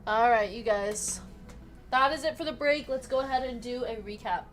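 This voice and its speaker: A woman's voice: on the high side, moderate pace. Chatty woman hosting a card break. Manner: chatty, laughs often, reads out players and numbers. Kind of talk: live card break, casual chat. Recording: music playing behind.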